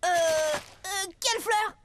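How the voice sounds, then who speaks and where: A woman's voice: very high voice, slow, noisy background. A woman voicing a lovestruck, gushing, easily flustered little boy in a cartoon. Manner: gushing, excitable. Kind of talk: cartoon voice acting. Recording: loud music under the voice.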